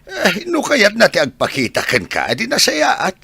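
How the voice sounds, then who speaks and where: A man acting a gruff, suspicious old husband and father in a Filipino audio drama. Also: sits high, wildly swooping pitch, normal speed, sharp tone, slight background noise, heavily acted. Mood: irritated.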